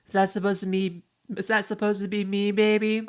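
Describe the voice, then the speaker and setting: A young woman with a raspy voice, chatting in a rambling, filler-heavy way while she plays a game on a live stream, speaking into a desk microphone. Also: medium pitch, little pitch movement, fairly quick, a little hiss or hum. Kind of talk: casual live-stream gaming chat.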